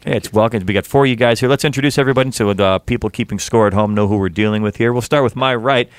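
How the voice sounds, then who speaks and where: A man's voice: on the low side, clean tone, no room sound. A man hosting a music interview show, reading a scripted introduction and then chatting with a band. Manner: measured, announcer-like delivery. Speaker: radio show host.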